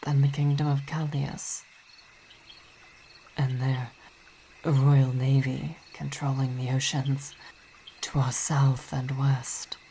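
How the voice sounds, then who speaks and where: A woman voicing a weary, devoted vampire ruler and wife in an ASMR roleplay, speaking softly, often in a whisper, with halting, reflective phrasing and sighs. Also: very deep voice, level tone, slight background noise, exaggerated voice, poor audio. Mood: worried, earnest.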